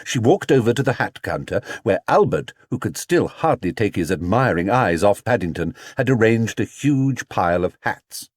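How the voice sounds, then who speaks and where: A man narrating a children's storybook as an audiobook reader, with expressive, measured storytelling. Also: lowish voice, dry recording, clean studio sound.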